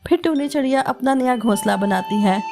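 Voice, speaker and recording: A woman's voice: high-pitched, fairly quick, music in the background. A woman giving scripted narration of a children's moral story.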